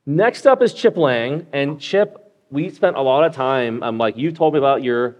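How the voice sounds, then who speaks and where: A man's voice: mid-range voice, animated, moderate pace, darkish tone. A man hosting a live medical podcast. He walks through clinical cases in a conversational way, with lots of fillers and check-ins with the listener.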